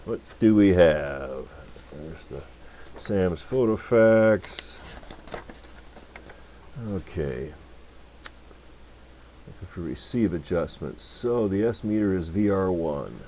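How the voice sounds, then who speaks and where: A man with a low voice talks casually through hands-on electronics work. He is unhurried and often mutters to himself as he goes. Faint noise sits behind him in an indoor workshop recording. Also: animated, mellow. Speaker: electronics repair hobbyist, channel host. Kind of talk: casual hands-on workbench demonstration.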